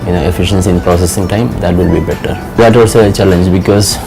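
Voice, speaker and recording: A man in his thirties, a financial controller giving a measured interview testimonial in an office, often saying "you know". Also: deep voice, steady tone, gravelly, music in the background. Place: office indoors.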